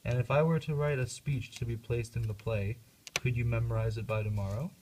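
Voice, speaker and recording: A man's voice: mid-range voice, steady tone, brisk, noisy background, close to an everyday voice. A man playing a grieving, bitter young prince in an amateur puppet show. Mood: composed.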